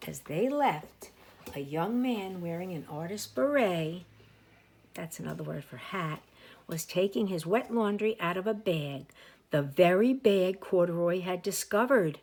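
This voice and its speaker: A woman reading a picture book aloud as a teacher to young children, with expressive, drawn-out emphasis. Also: on the low side. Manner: animated, with slow drawn-out emphasis; asides and explanations for young listeners.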